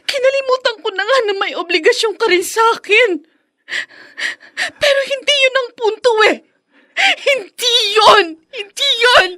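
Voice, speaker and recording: High-pitched, anguished wronged wife. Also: female voice, unhurried, sharp tone, heavily acted. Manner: tearful, impassioned, pleading. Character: hurt, fed-up wife and mother at breaking point. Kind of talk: radio drama acting.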